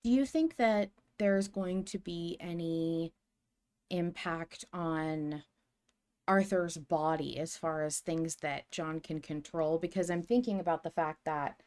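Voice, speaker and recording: A woman's voice: lowish voice, slow pace, darkish tone. A woman podcast co-host in a casual fan discussion, thoughtful and tentative as she thinks aloud.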